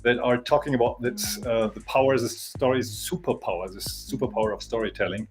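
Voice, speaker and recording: Halting man hosting a live stream. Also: mid-range voice, soft background music. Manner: halting, repeats words. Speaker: live show host. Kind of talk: casual live-stream chat.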